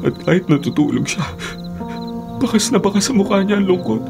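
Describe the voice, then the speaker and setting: A man's voice: high-pitched, animated, a little fast, vocal fry, music in the background. An actor playing a sorrowful, devoted father in a radio drama, with a lamenting, tender manner. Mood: anguished, pitying. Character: sorrowful, devoted father left by his wife. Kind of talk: Filipino radio drama acting.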